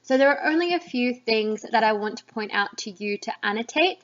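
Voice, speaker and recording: A woman's voice: a little high, animated, brisk, fairly bright tone. A woman teacher giving plain, explanatory commentary on a text, as in a lesson. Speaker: English teacher. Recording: dull, muffled lo-fi recording.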